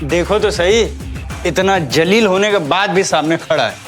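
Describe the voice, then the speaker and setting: A sneering shop salesman voiced by a man for an audio drama, pitched high, with faint music behind him. He is a mocking bully who talks in threats and contempt. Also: moderate pace, close to an everyday voice. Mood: sneering, contemptuous. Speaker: voice actor.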